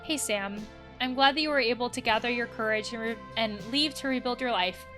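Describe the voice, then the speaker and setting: Woman reading as a high-voiced, reassuring young friend. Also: fairly quick, bright, forceful tone, faint music behind. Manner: encouraging, reading out a message.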